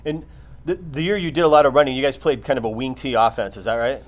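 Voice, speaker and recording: Quick-talking male reporter. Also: medium pitch. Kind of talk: informal press questions.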